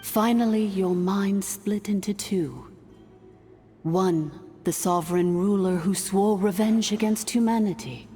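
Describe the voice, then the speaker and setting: A woman playing a calm, imperious ancient dragon-born artificial intelligence in a video game, speaking formally and with measured stateliness. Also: mid-range voice, natural voice. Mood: solemn. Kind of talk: scripted game dialogue, solemn exposition. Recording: long hall-like reverb, music underneath.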